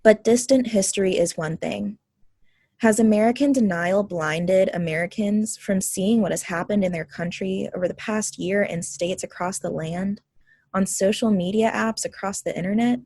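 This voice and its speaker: A woman reading an essay aloud at a group reading, in a measured, deliberate way. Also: medium pitch, a little mellow, slightly rough, no echo, studio quality.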